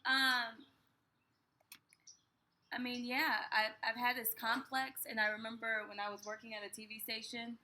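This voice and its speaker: A woman co-hosting a casual conversation, telling stories in an animated, playful way. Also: fairly high pitch, unhurried, pauses often. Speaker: co-host.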